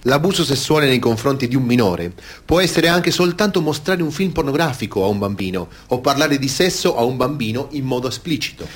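A man giving a grave, deliberate reading of scripted documentary theatre narration. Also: mid-range voice, expressive, slight background noise. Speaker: reader in a radio theatre piece.

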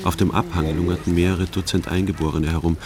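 A man playing a secretive, world-weary army sergeant in a radio drama, measured and matter-of-fact. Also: low voice, level tone, music in the background. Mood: dry, measured. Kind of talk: radio drama, dry first-person narration.

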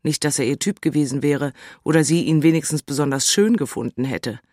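An actress playing a lovestruck, high-strung city woman in a radio drama, musing and self-mocking with a breathy voice. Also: brisk, darkish tone, no room sound, clean studio sound. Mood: dreamy, bewildered. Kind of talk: radio drama narration, intimate.

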